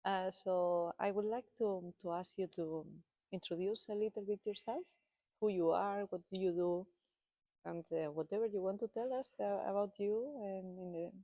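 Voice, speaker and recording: A woman hosts a podcast interview, posing questions in a soft-spoken, hesitant way. Her voice is mellow and dark in tone. The recording is dry, with little room sound. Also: medium pitch, steady tone, moderate pace, no echo. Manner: hesitant, many fillers and restarts, soft-spoken. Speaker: podcast host. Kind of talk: casual interview conversation.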